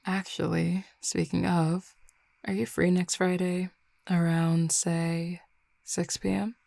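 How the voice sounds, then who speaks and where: A flirty female werewolf, played by a woman in an intimate roleplay audio drama, speaking low with plenty of gravelly fry. She is easygoing and confident, teasing affectionately in a mellow, unhurried way. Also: little pitch movement, dry recording. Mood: casual, chatty. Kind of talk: intimate roleplay audio drama, casual one-sided conversation.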